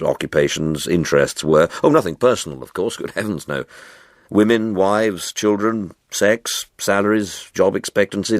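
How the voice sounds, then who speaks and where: A man reading a literary short story in a wry, measured and expressive storytelling manner, like an audiobook narrator. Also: low voice, no room sound, clean studio sound.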